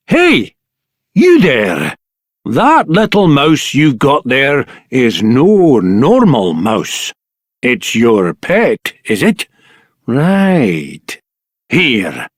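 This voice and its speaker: A plain-spoken, grateful townsman for a video game. His middle-pitched voice moves freely up and down as he rambles slowly, recorded close and dry. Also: no echo, heavily acted. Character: gruff but grateful man handing out a modest reward. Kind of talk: video game character acting.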